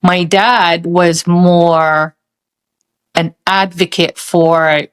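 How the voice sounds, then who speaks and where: Woman host, personal memories, slow unhurried delivery, fillers and pauses, fairly low voice. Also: steady tone, smooth. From Saudi Arabia. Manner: thoughtful, halting, many pauses and fillers. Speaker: podcast host. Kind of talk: reflective personal storytelling.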